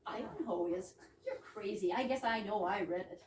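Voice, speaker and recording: A woman's voice: mid-range voice, normal speed, darkish tone, close to an everyday voice. A woman playing a flippant, playful young lady chatting from her bath in an amateur readers theater comedy. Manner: saucy, breezy, playful. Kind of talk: amateur readers theater of a 1920s comic play. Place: indoor readers theater stage, distant microphone. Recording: roomy distant stage recording, faint noise.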